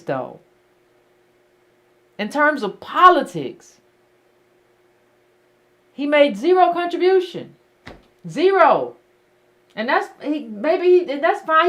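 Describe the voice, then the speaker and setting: A woman hosts a call-in show, and she talks bluntly and with emphasis, hammering the same points again and again. Her voice sits a little high and moves widely up and down. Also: a bit bright. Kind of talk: casual call-in talk.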